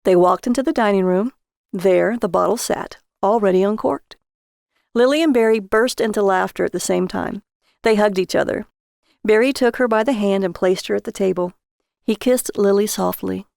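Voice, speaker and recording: A woman's voice: mid-range voice, moderate pace, vocal fry, a little mellow, dry recording, clean studio sound. A woman reading a short story as a scripted audiobook narrator.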